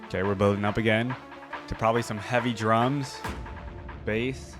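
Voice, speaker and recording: Low-voiced man reacting over music. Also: steady tone, normal speed. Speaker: reaction video host. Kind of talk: casual reaction commentary. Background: music from the watched video.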